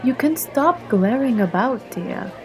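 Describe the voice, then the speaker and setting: Slow-talking roguish assassin played by a woman. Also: fairly high pitch, lively intonation, rough recording. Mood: coaxing, reassuring. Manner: teasing, unhurried, coaxing with old-fashioned turns of phrase. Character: roguish, flirtatious female guild assassin in a fantasy tavern. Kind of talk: ASMR fantasy roleplay. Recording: music and tavern chatter behind.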